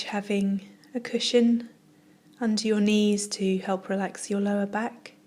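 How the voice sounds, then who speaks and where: A woman yoga teacher leading a guided relaxation, speaking softly and soothingly in short phrases with long pauses. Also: medium pitch. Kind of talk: guided meditation, yoga nidra narration.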